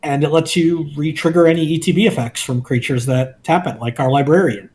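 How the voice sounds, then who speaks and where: A man co-hosting a card game review, talking in a measured, analytical way with some filler ums. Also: fairly high pitch, steady tone, a little mellow. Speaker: co-host.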